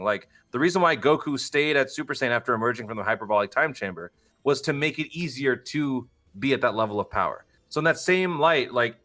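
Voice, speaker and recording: A young man with a bright, forceful voice at an ordinary middle pitch. He chats casually as a podcast guest, joking and teasing himself in a playful way. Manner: playful, jokey, self-deprecating.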